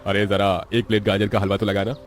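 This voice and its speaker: A man voicing a friendly, kindly street-food customer, expressive, in a moral-story cartoon. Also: lowish voice, fairly quick, slight background noise, lightly acted.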